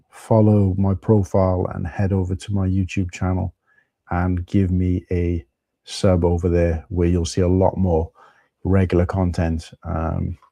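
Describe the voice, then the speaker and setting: Man, husky, very dark and mellow, low flat voice, dry close sound, rambling live stream chat. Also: no echo. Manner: rambling, chatty, many fillers and restarts. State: husky from tiredness.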